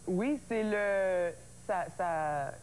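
A woman, a singer chatting as a guest on a TV talk show, relaxed and quick with short replies. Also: medium pitch, slight background noise. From Ontario, Canada. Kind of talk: casual TV interview chat. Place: indoor TV studio lounge.

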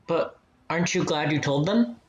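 An actor playing a gentle, supportive young man in a radio play, conversational and reassuring. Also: fairly high pitch, moderate pace, muffled recording, natural voice. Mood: concerned.

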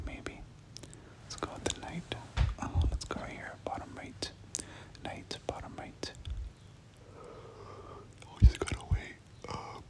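A person doing whispered ASMR game commentary, speaking casually in gamer slang and often repeating short phrases. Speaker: gameplay commentator.

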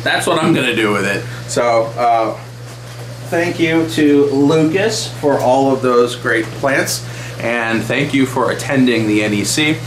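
A man's voice: mid-range voice, measured pace, slight background noise. Chatty man in an echoey room. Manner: chatty, enthusiastic, many fillers. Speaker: aquarium channel host. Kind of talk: casual vlog update. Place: small wood-paneled room indoors.

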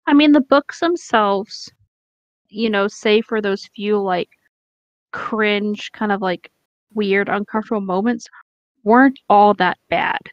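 A woman's voice: mid-range voice, takes it slowly, dry recording, highs cut off. A woman chatting casually and giving her opinions in very informal, slangy speech. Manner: informal, says "like" often.

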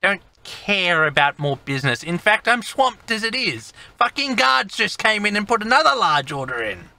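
A man's voice: sits high, very animated, moderate pace, creaky, bright, forceful tone, voice pushed up, exaggerated voice. A man voicing a curt, dismissive old female alchemist in a tabletop game. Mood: irritated.

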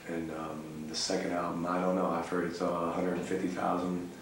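Very low-voiced, blunt young man. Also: completely flat delivery, a little fast. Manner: blunt, profane, laid-back drawl. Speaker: band member being interviewed. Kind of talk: casual backstage interview. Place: cramped backstage room indoors. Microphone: far camera mic. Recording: echoey room, distant camera mic, noisy.

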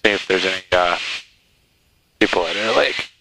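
Low-voiced man over roaring aircraft intercom. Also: moderate pace, creaky. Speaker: flight instructor. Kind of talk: casual hands-on instruction. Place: small twin-engine plane cockpit in flight. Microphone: aviation headset intercom. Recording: loud engine drone, hissy aircraft intercom. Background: loud engine noise and hiss.

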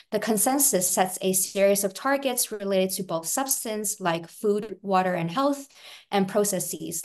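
A young woman from Hong Kong in her twenties, moderating an online panel and reading a prepared introduction over a video call. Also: medium pitch. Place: indoors at home, video call. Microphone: video call webcam.

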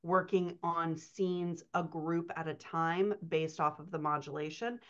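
A woman's voice: on the low side, steady tone, measured pace, fairly bright tone. A middle-aged woman explaining things as a writing coach on a conversational podcast, stressing key words, over a video call. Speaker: writing coach answering questions. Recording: dull, muffled video-call audio.

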